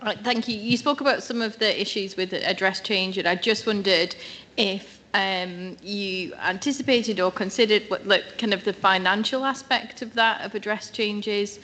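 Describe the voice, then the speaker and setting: A woman's voice: mid-range voice, normal speed, a bit bright. A woman councillor asking a question at a council meeting.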